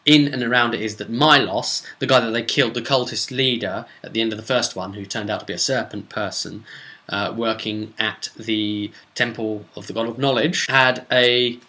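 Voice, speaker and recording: A man's voice: fairly low pitch, fairly even intonation, moderate pace, sharp tone. A man with a British accent hosting a casual, unscripted tabletop role-playing recap as game master, chatty and rambling. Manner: rambling, chatty, acts out bits of dialogue.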